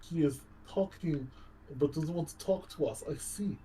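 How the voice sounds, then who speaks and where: A man's voice: normal speed, warm tone, rough recording. Guy co-hosting a game stream on voice chat, casual, swears a lot, repeats stuff, background noise. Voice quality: low baritone voice. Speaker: co-host of a game livestream. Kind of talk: casual banter over gameplay. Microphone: online voice call.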